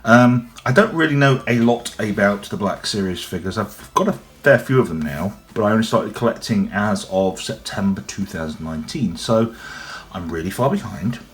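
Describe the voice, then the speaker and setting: A young man with a cold, his voice deep and gruff, chatting casually and rambling as he unboxes and reviews a toy. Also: lively intonation, normal speed. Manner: rambling, chatty, frequent ums. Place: indoors at a table, off camera.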